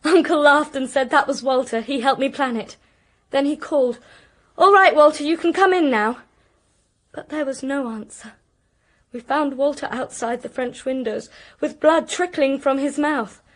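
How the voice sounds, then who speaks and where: An actress playing an anxious, articulate young British woman in a radio drama, with a precise, expressive delivery. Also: high voice, moderate pace, natural voice. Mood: tense recounting. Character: anxious, articulate young niece recounting a crime.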